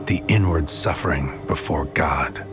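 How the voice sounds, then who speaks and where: An actor playing a brooding, desperate psychic soldier who narrates his mission in a terse, grim, hushed audio-drama monologue. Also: very deep voice, unhurried. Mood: grim, intense. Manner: terse, grim, intense, clipped poetic phrasing. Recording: loud music behind voice. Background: loud music.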